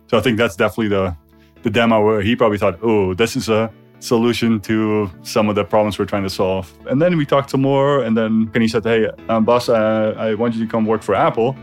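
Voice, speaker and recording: Low-voiced man casually recounting, music behind. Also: steady tone, fairly quick. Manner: informal, loosely phrased, often quoting dialogue. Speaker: interview guest, software interface designer recalling past work. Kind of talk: casual spoken recollection.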